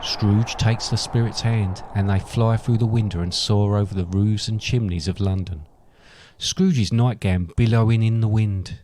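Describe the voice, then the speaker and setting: A man's voice: on the low side, steady tone, a little slow, warm tone. A man reading scripted narration for a comic story.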